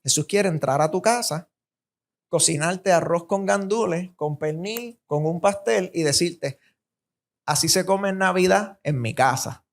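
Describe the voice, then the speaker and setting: Slow-preaching Puerto Rican man. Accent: Puerto Rican Spanish. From Puerto Rico. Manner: emphatic, rhythmic repetition, rising pitch on key points. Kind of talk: sermon.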